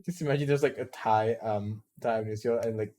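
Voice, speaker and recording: A man's voice: fairly low pitch, fairly quick, mellow. A young man chatting casually with friends on a podcast, rambling with lots of restarts and fillers. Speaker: podcast co-host, student. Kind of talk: casual chat.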